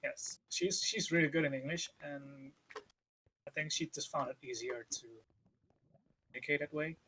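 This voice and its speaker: A man whose first language is Vietnamese, chatting casually as a podcast co-host and telling stories in an animated way. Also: fairly high pitch, moderate pace, a little hiss or hum, low-quality recording. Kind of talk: casual chat.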